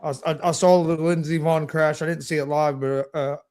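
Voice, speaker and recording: A man on a sports chat show who rambles on, repeating and restarting his sentences. His voice is a little high for a man. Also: steady tone, normal speed, a little mellow. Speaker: co-host.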